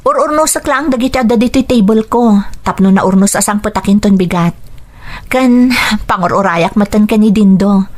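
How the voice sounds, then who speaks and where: A woman's voice: medium pitch, normal speed, darkish tone, close to an everyday voice. A woman playing a devoted, earnest young working woman in love in an Ilocano radio drama.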